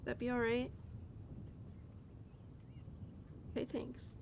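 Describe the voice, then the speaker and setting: Woman playing a downhearted young cowgirl, noisy rumbly recording. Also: monotone. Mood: sad, apologetic. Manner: halting, casual, sighing, talking softly to an animal. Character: upset young cowgirl, tender and self-deprecating, talking to her horse. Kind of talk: intimate audio roleplay, naturalistic acting. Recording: clear background noise with low rumble.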